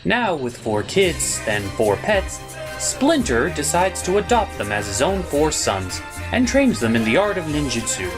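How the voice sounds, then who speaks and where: A man's voice: a little high, very animated, measured pace, music in the background. A man narrating a scripted cartoon review as host, opinionated and emphatic.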